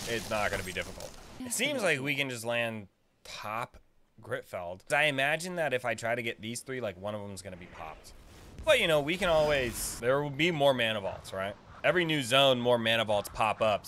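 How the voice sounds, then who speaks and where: A man's voice: medium pitch, pushed and bright, a little hiss or hum. A man in his thirties giving casual, playful gameplay commentary, talking to himself into a close desk microphone. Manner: playful, talks to himself and the game. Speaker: video game streamer. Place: small indoor room at a desk. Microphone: boom-arm desk microphone, close.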